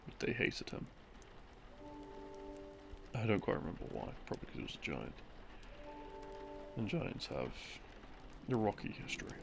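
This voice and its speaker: Easygoing boyfriend played by a man for sleep-aid roleplay: heavy vocal fry, lively swings in pitch, casual rambling lore narration. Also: heavily acted. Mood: relaxed storytelling. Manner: casual, unhurried, conversational asides and hesitations. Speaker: audio roleplay performer. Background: background music.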